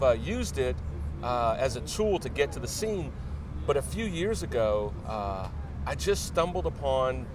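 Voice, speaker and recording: A middle-aged man tells stories casually as an interview guest, with a halting, hesitant flow and an average-pitched voice. He sounds some way from the mic, with clear noise rumbling behind him. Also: distant mic. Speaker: interview guest, newspaper media director. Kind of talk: casual anecdotal storytelling.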